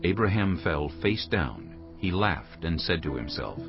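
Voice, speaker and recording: A man narrating scripture from a script in a measured, solemn storytelling manner. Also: low voice, big pitch swings. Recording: slightly dull sound, faint music.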